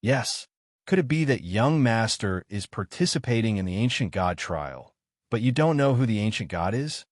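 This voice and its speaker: A man voicing a young slave girl of a nonhuman clan in a fantasy audiobook, formal, deferential and quietly confident. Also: lowish voice, big pitch swings, moderate pace, no echo, studio quality. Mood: earnest, confident.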